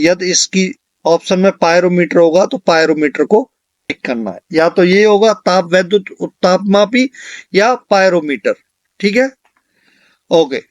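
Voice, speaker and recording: High-pitched man teaching. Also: no echo. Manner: instructional, repeats questions and answers for emphasis. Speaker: exam coaching teacher. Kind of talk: question-and-answer exam lesson.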